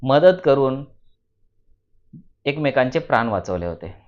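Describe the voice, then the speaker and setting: A man from narrates a children's moral story in a deliberate, teacherly way, repeating phrases for emphasis. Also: mid-range voice, very animated. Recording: dull lo-fi voice recording.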